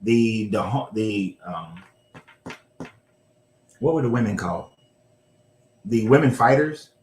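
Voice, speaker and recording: A man's voice: lowish voice, slow pace, darkish tone, slight background noise. A man hosting a casual history discussion, talking loosely with some swearing and correcting himself as he goes. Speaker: show host. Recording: roomy echo.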